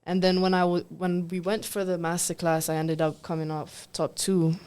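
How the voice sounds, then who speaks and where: A woman's voice: lowish voice, moderate pace, clear, resonant tone. A young woman from Kenya, a singer, chatting casually as an interview guest and laughing often between her words. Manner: relaxed, laughs often, fills with "like" and "um".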